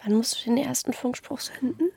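Breathy, tender young wife. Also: female voice, high-pitched, a little fast, a little mellow, dry recording, heavily acted. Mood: soft, attentive. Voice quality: breathy, often whispery. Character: tender young wife in the resistance, softly teasing. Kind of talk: intimate naturalistic radio drama acting.

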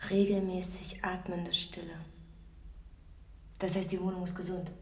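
A woman acting a commanding, conspiratorial squatter in a radio play, instructing deliberately and slipping into whispers. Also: lowish voice, level tone, far from the mic. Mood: hushed, conspiratorial. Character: Ragnar, commanding, conspiratorial female squatter and midwife of houses. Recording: echoey room, music underneath.

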